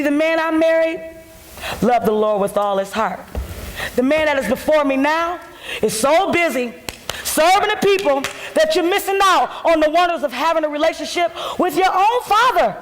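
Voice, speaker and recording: Woman acting as fed-up church wife, gospel stage drama, very high pitch, preachy, reproachful, distorted bootleg, long hall reverb. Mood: pleading, reproachful. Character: fed-up, devout pastor's wife confronting her self-righteous husband. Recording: blown-out bootleg audio, echoing theater hall.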